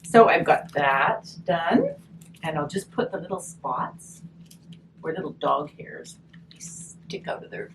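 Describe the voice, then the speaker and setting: A woman giving a casual step-by-step craft demonstration, chatty and encouraging, thinking aloud as she works, recorded on a distant mic. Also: deep voice. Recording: distant mic, noisy room ambience.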